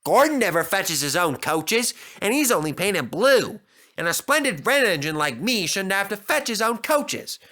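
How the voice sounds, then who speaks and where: A vain red locomotive from a children's story, played by a man. He speaks high and bright, fussing and bossing with a fretful, self-important air. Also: sing-song, moderate pace, exaggerated voice. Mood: sulky boasting. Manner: bossy, boastful, fretful. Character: vain, fussy, impatient male red steam engine.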